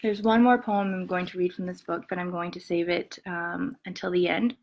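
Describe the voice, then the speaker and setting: Soft-spoken woman poet reading aloud. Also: fairly low pitch, little pitch movement, slight background noise. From Montana and western Colorado, USA. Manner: soft-spoken, many ums, measured reading. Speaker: poet reading her work. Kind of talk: poetry reading with spoken introductions.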